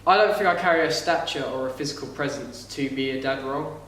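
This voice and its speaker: A young man with a light voice, higher than most men's, chatting on a podcast in a rambling, halting way. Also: a bit bright, slight background noise. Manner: rambling, halting, with frequent restarts. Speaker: podcast co-host, actor. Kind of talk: casual chat. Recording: echoey room, mic a bit far off.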